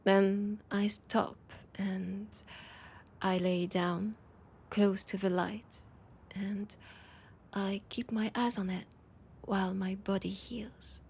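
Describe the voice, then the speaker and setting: A woman with a French accent voicing a weary, wry female vampire in an intimate audio roleplay, speaking in a breathy, hesitant, confiding way. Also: medium pitch, level tone, slow pace, a little mellow, slight background noise. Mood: quiet, haunted. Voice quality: breathy, husky.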